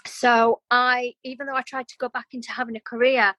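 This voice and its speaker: A woman's voice: a little high, flat delivery, a little fast, bright, forceful tone, dry recording, low-quality recording. A middle-aged woman with a Yorkshire accent touched by Welsh, chatting openly and expressively as an interview guest. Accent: Yorkshire with some Welsh influence. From Yorkshire and North Wales, UK. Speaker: interview guest, creative business owner. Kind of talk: conversational personal storytelling.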